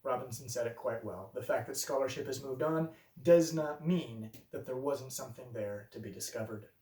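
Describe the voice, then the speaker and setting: A man who preaches, presenting a scripted explanatory video essay and reasoning step by step through objections in a measured way. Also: medium pitch, dark tone, recorded from a distance. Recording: roomy echo, voice a bit off-mic.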